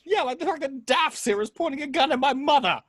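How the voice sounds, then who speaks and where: A woman's voice: high voice, expressive, fast talker. A woman reading the part of a desperate, hot-tempered grown son in an amateur film script table read. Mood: bitter.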